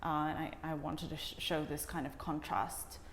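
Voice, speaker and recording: A woman giving reflective interview answers as a documentary director, in halting, hesitant phrases. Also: low-pitched, little pitch movement. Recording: echoey reverberant room with faint noise.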